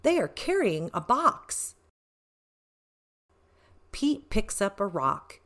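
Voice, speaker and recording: Very animated, slow-paced woman storyteller. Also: mid-range voice. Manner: deliberate, animated, short phrases with emphasis. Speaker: read-aloud storyteller for children. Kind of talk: expressive children's picture book narration.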